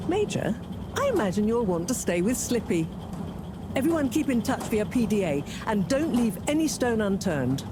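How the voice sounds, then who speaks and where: A woman's voice: fairly high pitch, big pitch swings, normal speed, background noise. An actress playing an authoritative female team leader in a video game, clipped and businesslike. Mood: commanding. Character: brisk, commanding female rescue team leader. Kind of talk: scripted video game dialogue.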